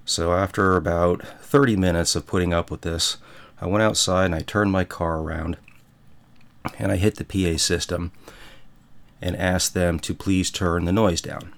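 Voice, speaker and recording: A man hosting his show with a rough, phlegmy voice, telling a rambling, self-mocking story in a folksy, casual way. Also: deep voice, steady tone, normal speed. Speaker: podcast host.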